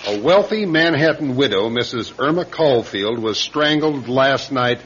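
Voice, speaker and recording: A man's voice: mid-range voice, animated, measured pace, a bit bright, slightly rough. An American man acting as a skeptical, blunt, authoritative police captain in a radio crime drama. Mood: skeptical, probing. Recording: dull, lo-fi radio recording.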